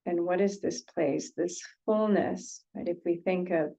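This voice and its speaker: A woman leading an unscripted guided meditation as a teacher, gently, with pauses, repeated phrases and sentences that trail off. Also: on the low side, rough recording.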